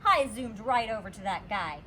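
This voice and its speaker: A woman reading a children's storybook aloud as a teacher, with expressive, deliberate storytelling. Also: medium pitch, slight background noise.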